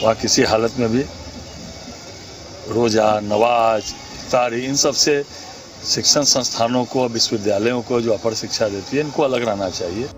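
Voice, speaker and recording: A man's voice: medium pitch, a bit bright, rough recording. A man speaking as a legislator in a statement to the press.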